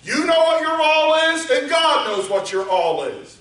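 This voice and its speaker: High bright-voiced preacher guy, loud sermon, roomy church echo. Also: very animated, a little slow. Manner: builds from quiet teaching to shouted exhortation. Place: church sanctuary. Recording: echoey church hall, voice from far off.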